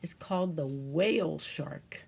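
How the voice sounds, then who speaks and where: A woman's voice: low voice, unhurried, creaky, darkish tone, slight background noise. A woman reading aloud to young children as a storyteller, speaking simply and expressively and often asking them questions.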